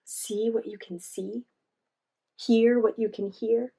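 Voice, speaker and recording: Casting: female storytime host, teacherly, high yet husky voice, narrating picture books for children. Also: level tone, takes it slowly, dark tone, poor audio. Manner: teacherly, asks listeners questions and guides them. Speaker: children's read-aloud host. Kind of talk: storybook narration with asides to young listeners.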